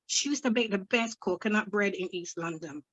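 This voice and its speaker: A woman's voice: medium pitch, a bit bright, husky, dry recording. Woman doing a fiery Barbadian mum. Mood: gossiping. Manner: rapid, exasperated questioning, old-fashioned sayings. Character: scolding hot-tempered older Barbadian mother.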